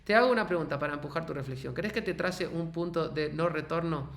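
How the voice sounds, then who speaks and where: Synthetic male voice, list-style answer, even and declarative, fairly high, roomy echo. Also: quick pace. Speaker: AI voice assistant. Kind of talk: listed, structured answer.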